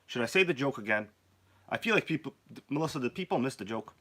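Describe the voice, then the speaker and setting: A man's voice: mid-range voice, animated, quick pace, pushed and bright. A man hosting a casual live stream while sick with a cold and nearly losing his voice, joking around and greeting viewers by name.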